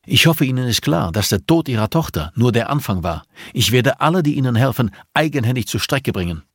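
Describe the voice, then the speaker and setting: A narrator plays a stern male federal investigator in an audiobook thriller. He is forceful and menacing, with raised pitch, a husky edge and clipped phrasing. He sounds merciless and close up. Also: animated, fairly quick, no echo.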